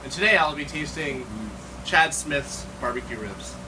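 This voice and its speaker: A man's voice: on the high side, expressive, normal speed, over a noisy crowd, rough recording. A man in his twenties from Ohio introducing himself to camera in a mock-formal way as a food taster. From Ohio, United States. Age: 20s. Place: indoor navy work office. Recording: busy room with people talking behind.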